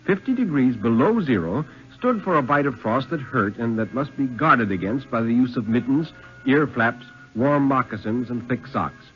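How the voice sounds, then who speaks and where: An American man giving a solemn, deliberate dramatic reading of a story in the style of 1950s radio. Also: medium pitch, faint music behind. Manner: solemn, deliberate, expressive phrasing. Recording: muffled 1950s radio recording.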